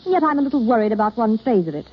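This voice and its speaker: An actress playing a frank, sympathetic young society woman with an animated, teasing manner in a 1930s radio drama. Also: a little high, very fast. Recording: scratchy, muffled old radio broadcast.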